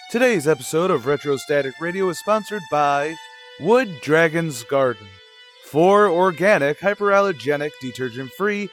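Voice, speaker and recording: A man hosting a podcast, reading a scripted sponsor message. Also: fairly high pitch. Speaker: podcast host.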